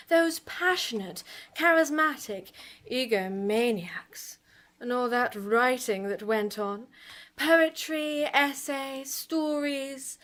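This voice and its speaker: Casting: sly gothic radio hostess, seductive and teasingly sinister, lingering slow delivery in a higher female register. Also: a bit bright. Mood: admiring, arch. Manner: coy, seductive, arch, drawn-out. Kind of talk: theatrical gothic radio hosting. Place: festival theatre stage.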